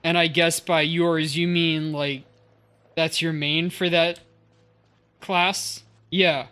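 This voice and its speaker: A livestreamer talks casually with viewers while playing a game. The voice is mid-pitched, and the manner is curious and inquisitive, like someone thinking out loud. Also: little pitch movement, slow pace, smooth.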